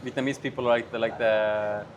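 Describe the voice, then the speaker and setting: A man's voice: on the low side, fairly even intonation, brisk, background noise. A man with a Swedish accent hosting a casual conversation, rambling with restarts and laughing often. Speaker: podcast host.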